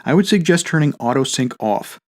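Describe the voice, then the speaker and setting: Man narrating a tutorial, lively intonation. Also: a little high, fairly quick. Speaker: product demonstrator.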